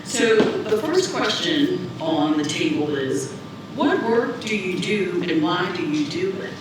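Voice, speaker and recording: A woman moderating a conference panel, pacing her introductions slowly and repeating herself. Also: on the low side, sing-song. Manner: warm-up, slow, repeats herself. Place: conference hall. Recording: echoey hall, distant, background noise.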